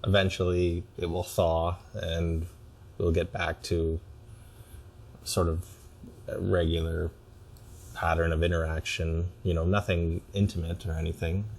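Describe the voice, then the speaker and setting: A man's voice: low-pitched, little pitch movement, unhurried, a little mellow. A man speaking as a client in couples therapy, reflecting on his relationship with hesitant, careful phrasing. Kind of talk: reflective personal conversation.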